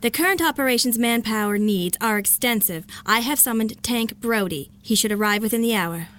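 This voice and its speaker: A woman's voice: on the high side, animated, fairly quick, a bit bright. A woman playing a formal, precise operations dispatcher in a radio drama, businesslike throughout. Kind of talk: 1930s-style radio drama acting.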